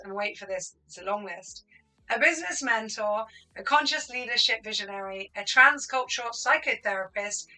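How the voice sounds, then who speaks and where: A woman's voice: fairly high pitch, sharp tone, rough recording. A woman from Lebanon hosting an interview, asking friendly questions with fillers. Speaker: host. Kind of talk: conversational interview.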